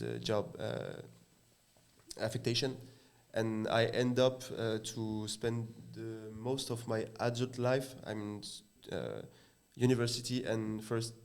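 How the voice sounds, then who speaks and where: A young man with a French accent, a podcast guest speaking English as a second language, halting and full of fillers as he searches for words. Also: fairly low pitch, fairly even intonation. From France. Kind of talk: casual podcast chat.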